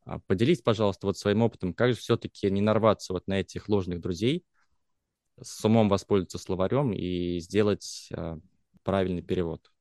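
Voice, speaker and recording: Deep-voiced male podcast host, measured hesitant questions, dry close mic. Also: darkish tone, no room sound. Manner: careful, hesitant questioning with pauses. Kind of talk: interview questions.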